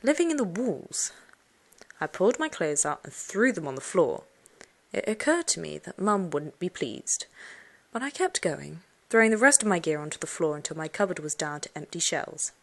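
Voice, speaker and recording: A woman reading a children's suspense story aloud, expressively, with dramatic hushed moments. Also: low-pitched, very animated, normal speed, gravelly. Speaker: audiobook narrator. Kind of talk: dramatic first-person storybook narration for children.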